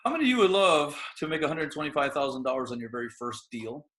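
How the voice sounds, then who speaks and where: A man hosting a webinar, making a pushy motivational sales pitch full of rhetorical questions. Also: sing-song, fast talker, fairly bright tone. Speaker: webinar host.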